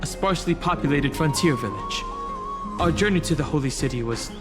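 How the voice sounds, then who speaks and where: A man playing a polite, cautious traveler in a video game, speaking in a measured, hesitant, thoughtful way. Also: fairly high pitch, expressive, lightly acted. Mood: polite, guarded. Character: polite, cautious male traveler posing as a soldier. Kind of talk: video game character dialogue. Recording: music behind the voice.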